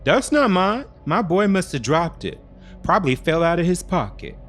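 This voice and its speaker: Man acting smug, sharp, high-pitched controlling boyfriend. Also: big pitch swings, normal speed, soft background music. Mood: dismissive, agitated. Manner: sharp, dismissive, slangy. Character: smug, controlling, gaslighting boyfriend, casual and impatient.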